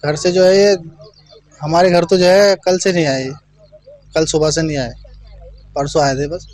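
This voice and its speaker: A man speaks in a slightly high voice. He gives a plain, unscripted account as a local interviewee in a news report. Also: steady tone, a little slow, darkish tone. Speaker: bereaved relative interviewed for news.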